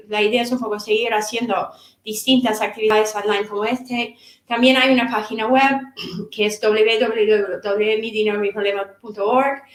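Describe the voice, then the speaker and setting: Halting middle-aged woman in an echoey room. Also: a little high. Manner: halting, repeats words while searching for them. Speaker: author and course instructor. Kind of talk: seminar talk.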